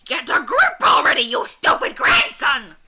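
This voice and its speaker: A woman voicing a scowling old grandmother who yells and nags in exasperation during a game read-aloud. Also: sits high, wildly swooping pitch, measured pace, pitched above the performer's own voice, full character voice. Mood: furious scolding. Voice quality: very loud, yelling. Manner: exasperated, nagging, snorting.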